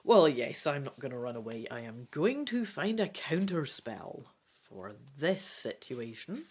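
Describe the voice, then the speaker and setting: A woman's voice: rumbling low, very animated, measured pace, a little mellow, breathy edge. A woman hosting a gamebook playthrough, reading dramatically and adding informal, self-deprecating asides. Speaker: host of a gamebook let's play.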